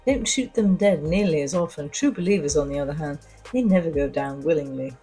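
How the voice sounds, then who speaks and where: A woman's voice: low-pitched, fairly quick, mellow, husky, faint music behind. A woman voicing a cool, sardonic female spy and socialite in an audio story, wry and commanding.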